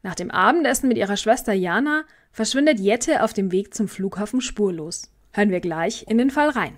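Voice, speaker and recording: A woman's voice: mid-range voice, lively intonation, moderate pace. A woman delivering a scripted introduction as a podcast host.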